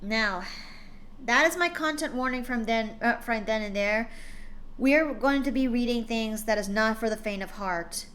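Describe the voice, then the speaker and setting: A woman's voice: fairly high pitch, moderate pace, a bit bright. A woman hosting a live stream, chatting casually with lots of fillers and restarts before reading fan fiction aloud.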